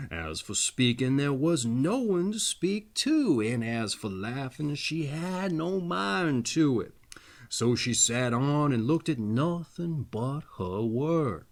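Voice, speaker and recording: A man reading a fairy tale aloud as a storyteller, with expressive, theatrical narration. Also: mid-range voice.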